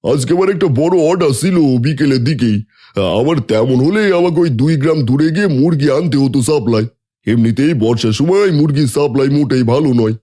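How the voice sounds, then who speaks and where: A friendly village chicken trader in an animated folk tale who teases and jokes with an old friend. His voice is a bit high, yet soft and mellow. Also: fast talker. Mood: jovial. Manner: friendly, jovial, teasing. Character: middle-aged village chicken trader Ratan.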